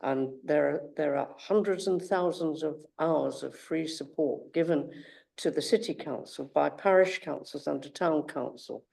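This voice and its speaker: A councillor makes emphatic points at a committee meeting in a gravelly, dark-toned voice. The delivery is firm and direct. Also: medium pitch, measured pace.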